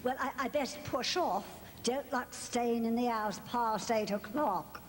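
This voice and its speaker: A woman playing a halting, servile older housekeeper of a haunted castle in an audio drama. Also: a little high, natural voice. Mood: grateful, nervous. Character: timid, deferential elderly housekeeper of a haunted castle. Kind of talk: theatrical audio drama acting. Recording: long reverb, faint background noise.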